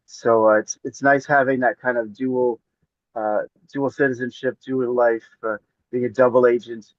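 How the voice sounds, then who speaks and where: A man from northern Maine, a poet guest, answering questions in a measured, hesitant way with many pauses. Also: medium pitch, little pitch movement, no echo, muffled recording. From northern Maine, United States. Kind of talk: interview answers.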